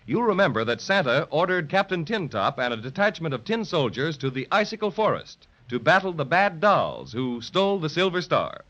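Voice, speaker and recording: Animated male old-time radio narrator. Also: a little high, moderate pace, hardly any pauses. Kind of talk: 1930s radio serial narration, scripted. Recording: muffled, dull 1930s radio recording.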